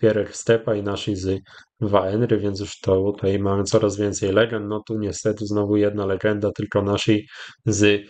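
A Polish man hosting a gaming channel, giving casual, rambling commentary with hesitant, drawn-out pauses. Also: fairly low pitch, level tone, darkish tone. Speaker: YouTube gaming host. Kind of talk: casual commentary over gameplay.

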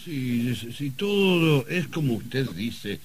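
A man's voice: mid-range voice, big pitch swings, a little hiss or hum. An actor playing a perplexed, hesitant man who stammers through his questions in an old radio drama. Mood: baffled, hesitant. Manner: stammering, halting.

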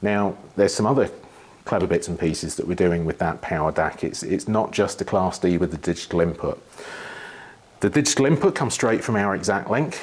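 Low, husky middle-aged man explaining. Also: normal speed. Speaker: loudspeaker engineer being interviewed. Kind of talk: technical explanation in an interview. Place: living room with wood panelling and large window.

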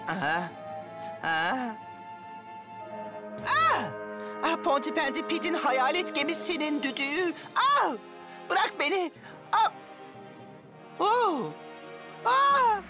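Very high, excitable cartoon boy. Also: slow pace, pauses often, music in the background, full character voice. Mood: frightened. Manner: dramatic, exclamatory. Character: excitable, easily spooked mischievous young boy. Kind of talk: children's cartoon dubbing.

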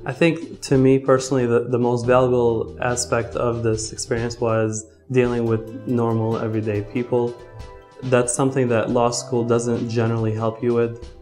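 A man's voice: medium pitch, little pitch movement, moderate pace, a little mellow, faint music behind. A man in his twenties, originally from Lebanon, talking earnestly in an office interview with some fillers and restarts. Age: 20s. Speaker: law student volunteer. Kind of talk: interview to camera. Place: indoor office.

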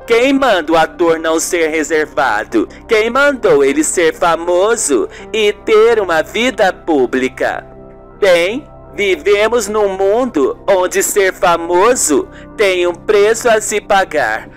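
Performer as a smug, unhurried gossip journalist. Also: mid-range voice, animated. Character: brash, shameless gossip journalist. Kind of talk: amateur radio soap acting. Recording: music bed under the voice.

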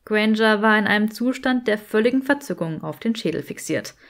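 A woman's voice: mid-range voice, animated, brisk. A woman reading scripted fiction as an audiobook narrator.

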